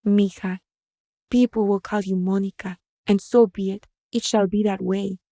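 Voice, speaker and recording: A woman narrator performs a young mother from a short story, tender, devout and sorrowful. Her gentle speech slips between English and Spanish, mellow in tone and recorded very dry. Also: medium pitch, fairly even intonation, normal speed, no echo, lightly acted. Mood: tender, resolute. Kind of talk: short-story audio reading.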